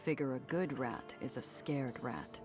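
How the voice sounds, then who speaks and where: A woman's voice: very deep voice, unhurried, music in the background. An actress playing a tough, world-weary smuggler woman in a 1930s noir game, wry and clipped. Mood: stern, wry.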